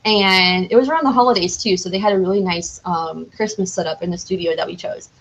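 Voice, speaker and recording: A woman's voice: lowish voice, fast talker, a bit bright, slight background noise, poor audio. A young woman chatting casually and enthusiastically as a podcast guest, rambling and saying "like" often. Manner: enthusiastic, rambling, heavy use of "like".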